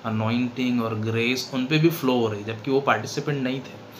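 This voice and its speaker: A man of 39 with an Indian accent gives an unscripted religious testimony, halting often and switching between two languages. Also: medium pitch, steady tone. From western Madhya Pradesh, India. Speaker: seminar participant giving a testimony. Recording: clearly noisy background.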